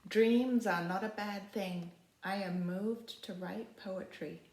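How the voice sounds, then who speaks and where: A woman reading a picture book aloud like a teacher, in expressive storytelling with casual, simply worded asides and deliberate, phrase-by-phrase delivery. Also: mid-range voice. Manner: explains to young listeners in simple, informal words. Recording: roomy, echoey sound.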